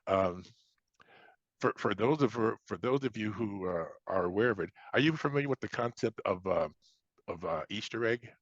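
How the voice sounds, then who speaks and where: An American man with a low voice talks in a casual interview. He circles back and repeats his phrases conversationally. The recording is dry and a little dull. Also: expressive, normal speed, dry recording. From Chicago, United States. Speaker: science fiction author, interview guest.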